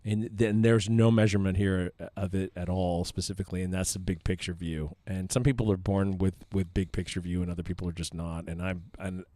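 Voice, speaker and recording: A man co-hosting a podcast, chatting casually and haltingly with fillers and restarts. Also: low-pitched, steady tone, dark tone, dry recording. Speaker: podcast co-host. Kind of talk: casual chat.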